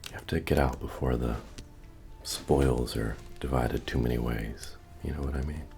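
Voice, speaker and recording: A man playing a friendly, humble medieval innkeeper and retired adventurer in a soft-spoken, folksy ASMR fantasy roleplay, with hesitant murmuring. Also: steady tone, normal speed. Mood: wistful, modest. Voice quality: deep, gravelly, often breathy or whispered. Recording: music under the voice. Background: medieval bard music.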